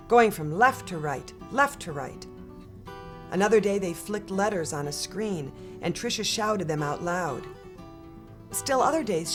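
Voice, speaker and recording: A woman reading a children's picture book aloud in a gentle, expressive storytelling manner. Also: medium pitch. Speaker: storybook reader. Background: soft guitar music.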